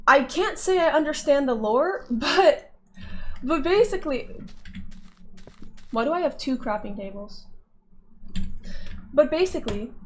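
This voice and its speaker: A woman's voice: high voice, slight background noise. Chatty woman rambling over a game. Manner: chatty, candid, self-deprecating. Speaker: gaming vlogger. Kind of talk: casual rambling commentary while gaming.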